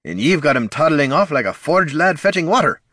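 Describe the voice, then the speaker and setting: A man's voice: a little high, sing-song, a little fast, pushed and bright, no echo, voice pushed up, exaggerated voice. A man voicing a blunt, practical male dwarf warrior with dry humor.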